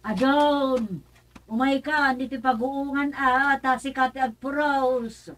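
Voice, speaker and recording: A woman's voice: sits high, steady tone, unhurried, a bit bright. A woman playing a good-natured, hardworking country mother in a radio drama, chatty and explanatory. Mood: cheerful, explaining.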